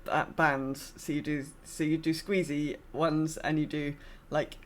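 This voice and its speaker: A woman co-hosting a podcast in casual chat, talking in a halting, self-deprecating way. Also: low-pitched, level tone. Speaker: podcast co-host.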